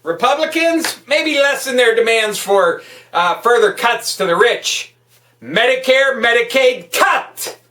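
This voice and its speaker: Echoey, bright-voiced woman on an angry rant. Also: fairly high pitch, expressive, measured pace, slight background noise. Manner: emphatic, profane, hectoring. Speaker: political vlogger. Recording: echoey room, distant microphone.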